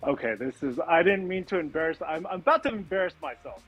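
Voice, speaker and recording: A man whose first tongue is Tagalog tells a story with a sheepish, halting delivery full of filler sounds. His slightly high voice swings widely in pitch, with faint music underneath. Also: lively intonation, a bit bright. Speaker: guest magician. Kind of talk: casual storytelling on a video call. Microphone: video call.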